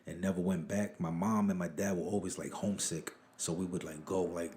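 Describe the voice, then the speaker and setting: A man's voice: deep voice, steady tone, brisk, mellow. A man from Rhode Island by way of New York, chatting casually as a podcast guest, with slangy, rambling talk that circles back on itself. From born in Harlem, New York, raised in Rhode Island. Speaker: guest, rapper.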